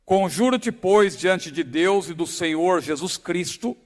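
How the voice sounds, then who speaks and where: Middle-aged male pastor, São Paulo accent, high voice, emphatic sermon delivery in a reverberant hall. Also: animated. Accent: São Paulo Portuguese. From São Paulo, Brazil. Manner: slow emphatic reading with rising stress. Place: large church hall.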